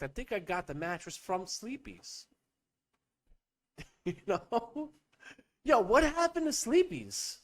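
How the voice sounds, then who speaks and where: A young man hosting a comedic podcast, rambling and riffing with profanity and playful bits. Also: high voice. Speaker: podcast host.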